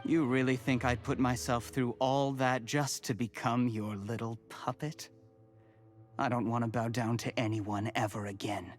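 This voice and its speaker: An actor playing a calm, ambitious male official who coldly lays out his murder plan, in a video game. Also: mid-range voice, measured pace, soft background music, close to an everyday voice. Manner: calm, calculating, cold. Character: cold, ambitious male official turned poisoner.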